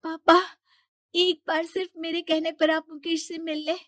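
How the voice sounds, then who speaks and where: A woman's voice: very high-pitched, little pitch movement, moderate pace, a bit bright, no room sound, clean studio sound, voice pushed up. A woman voicing an earnest, stubborn young woman in love, pleading and insistent, in a cartoon story. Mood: upset.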